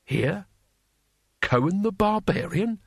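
A man's voice: high voice, animated, slow, gravelly, breathy edge, dry recording, studio quality, pitched above the performer's own voice, full character voice. A man voicing a nervous, bewildered wizard in a comic fantasy audiobook, asking anxious, hesitant questions. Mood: startled disbelief. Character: nervous, bewildered male wizard, a reluctant hero.

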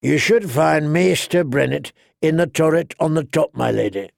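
A man acts out a gracious nobleman in a fantasy audiobook. He is courteous and deferential, with gravelly creak, in a very dry recording. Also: on the high side, animated, normal speed, no echo. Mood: polite, apologetic. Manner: polite, smooth-mannered, deferential. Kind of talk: audiobook character dialogue.

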